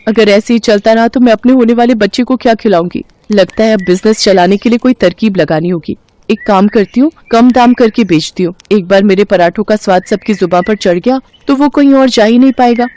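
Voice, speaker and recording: An earnest poor young wife acted out by a woman storyteller. She is devoted and polite, speaking her moral-story lines with a pleading manner at a middling pitch. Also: brisk, dark tone, natural voice. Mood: worried. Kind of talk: animated moral-story dialogue.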